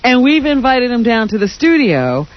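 A woman's voice: a little high, very animated, moderate pace. A female radio co-host who makes dry, wry remarks. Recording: muffled broadcast recording.

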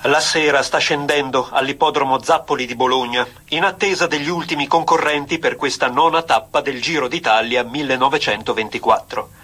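Excitable old-time radio sports announcer, florid, forceful, husky edge, declamatory. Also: male voice, mid-range voice, fairly quick, few pauses. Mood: solemn reporting. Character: excitable 1920s male radio cycling race commentator. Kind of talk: vintage sports radio commentary acting.

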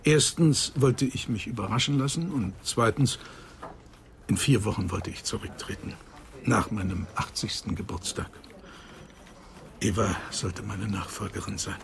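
Earnest elderly psychoanalyst, noisy recording. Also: male voice, on the low side, unhurried, vocal fry. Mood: emphatic, grave. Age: older. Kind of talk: German radio crime drama acting.

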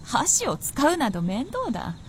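やや高い声の聡明な姫. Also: female voice, sits high, huge pitch swings, unhurried, a little hiss or hum, exaggerated voice. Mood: knowing, blunt. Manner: blunt, knowing, masculine phrasing. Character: shrewd, blunt princess in a lord's service. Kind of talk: Japanese period radio drama acting.

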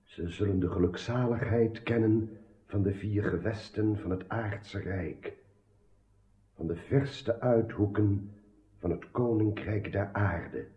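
An actor playing a solemn, troubled king in a radio drama, speaking gravely in halting, declamatory phrases. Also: on the low side. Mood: exalted, proclaiming. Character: solemn, troubled ageing king haunted by dreams. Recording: echoey, dull old radio recording.

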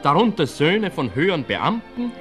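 A declamatory newspaper reader in an old radio play. The voice is forceful, fairly high and clipped, with music underneath. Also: male voice, sing-song, normal speed. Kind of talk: 1960s radio drama narration, read-out news.

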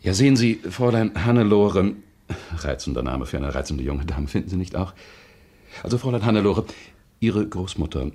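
Deep-voiced, smarmy salesman. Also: expressive, brisk, mellow. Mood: slick, pushy. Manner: stilted, obsequious, flowery politeness with hesitations. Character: smarmy, pushy male land buyer feigning condolence. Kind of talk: German radio crime drama acting.

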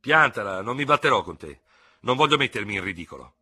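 Man playing a scornful, forceful Norman officer. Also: medium pitch, wildly swooping pitch, a little fast, husky, voice pushed up, heavily acted. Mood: controlled, firm. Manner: disdainful, reasoning, exasperated. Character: level-headed, scornful Norman cavalry lieutenant, irritated but self-controlled.

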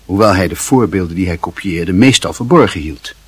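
A man with a low, husky voice tells a literary story for a radio play. He is measured and formal with a wry edge, recorded close in a dry studio. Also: animated, dry recording. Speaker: narrator.

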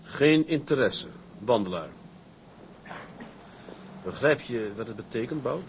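A determined, gruff captain in a period radio play. He speaks curtly and forcefully, his pitch moving in bold swings. There is some background noise behind him. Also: expressive, slow. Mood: grim resolve. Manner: curt, commanding, forceful. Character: gruff, proud, determined male sea captain. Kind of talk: Dutch period radio drama acting.

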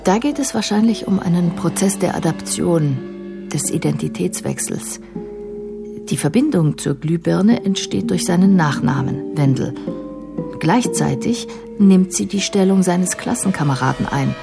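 An actress playing a composed female care professional in a radio drama, explaining a patient's case in a measured, analytical way. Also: lowish voice, expressive, creaky, music in the background. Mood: calm, reflective.

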